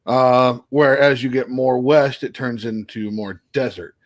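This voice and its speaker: A man co-hosting a casual podcast over a video call, earnestly laying out his theories as he thinks out loud. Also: mid-range voice, steady tone, a little slow. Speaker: podcast co-host.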